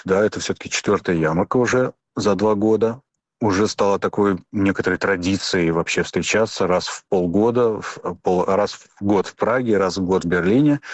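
A man's voice: lowish voice, no echo. A middle-aged man from Russia answering interview questions, thoughtful and hesitant, with frequent restarts and fillers. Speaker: guest.

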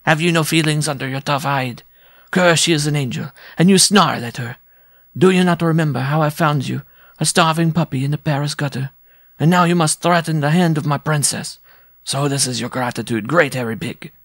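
Storybook dwarf, male performer: small, lovesick and irascible, shrill high-set voice, grandiose delivery. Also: a little fast, fairly bright tone, dry recording, clean studio sound. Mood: scolding. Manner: grandiose, theatrical, florid. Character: proud, irascible, lovesick little French circus dwarf. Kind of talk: storybook character voice.

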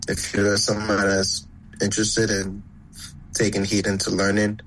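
A man who designs fashion, answering a guest question in a measured, earnest way. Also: lowish voice, monotone, fairly bright tone, a little hiss or hum, poor audio. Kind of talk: casual interview.

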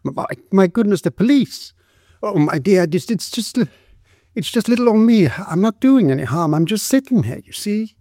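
Storybook role: timid, destitute young woman played by a man, high shrill lisp, halting, pleading, humble. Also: animated, creaky, mellow, no echo, studio quality, exaggerated voice. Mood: terrified. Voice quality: shrill, lisping.

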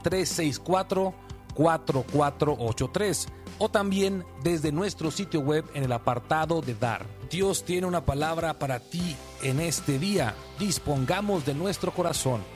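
A man's voice: fairly high pitch, a little slow, soft background music. A man reading a scripted church program promo in an upbeat, inviting manner. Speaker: radio announcer.

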